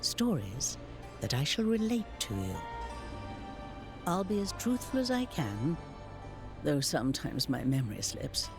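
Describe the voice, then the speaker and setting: An actor playing a storyteller of ancient legends, narrating in a measured, theatrical and deliberate way. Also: male voice, fairly high pitch. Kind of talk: dramatic scripted storytelling narration.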